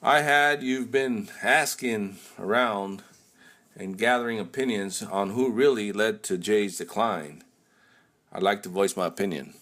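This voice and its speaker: A young man playing a shady older businessman on a phone call, speaking in a low, clipped way. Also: a little slow, fairly bright tone. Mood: cool. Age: young adult.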